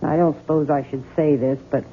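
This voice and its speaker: A woman's voice: low-pitched, fairly even intonation, fairly quick. An actress playing a plain-spoken, nosy older American mother-in-law in a radio drama, chatty, blunt and insistent. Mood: suspicious, fussing. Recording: muffled, noisy 1970s radio broadcast.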